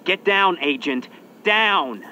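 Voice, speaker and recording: Spy handler, bright clear voice, crisp urgent orders, faint background hum. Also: male voice, high voice, lively intonation, slow, exaggerated voice. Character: male spy agency handler, brisk and urgent. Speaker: mission handler. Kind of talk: scripted game dialogue.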